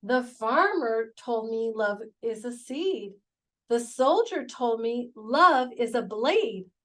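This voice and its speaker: A woman who hosts a children's storytime, reading a picture book aloud in an expressive, animated way. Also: fairly high pitch. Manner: animated, unhurried delivery. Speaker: children's storytime host, reader.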